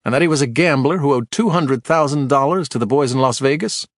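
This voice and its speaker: A man's voice: medium pitch, brisk, dry recording, studio quality, voice pushed up, lightly acted. A man voicing a blunt, plainspoken man who presses a witness with folksy, dogged questions.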